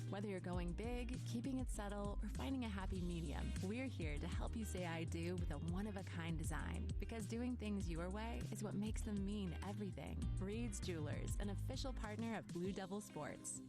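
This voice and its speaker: A woman giving a smooth, warm read of a radio commercial. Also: medium pitch.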